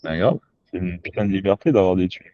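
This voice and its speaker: A man's voice: low-pitched, quick pace, warm tone, dry recording. A man from Quebec talking spontaneously and informally as a podcast guest, with slangy, sweary phrasing, on a lo-fi home recording. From Quebec, Canada. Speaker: podcast guest, survival instructor. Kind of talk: spontaneous casual conversation.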